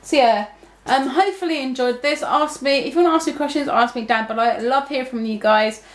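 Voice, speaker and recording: A young woman chats straight to her viewers in a fairly high voice. She rambles, stops and restarts, with lots of fillers along the way. The sound bounces around an echoey room. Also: quick pace. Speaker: vlogger. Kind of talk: casual talk to camera.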